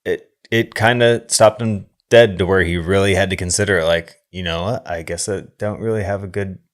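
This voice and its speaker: Low-voiced, reflective man co-hosting a podcast. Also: steady tone. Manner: wordy, halting, reflective. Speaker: podcast co-host. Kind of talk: casual film discussion.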